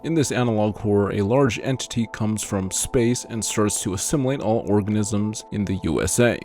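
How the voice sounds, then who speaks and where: A man's voice: on the low side, normal speed, darkish tone, faint music behind. A man reading scripted narration for an explainer video.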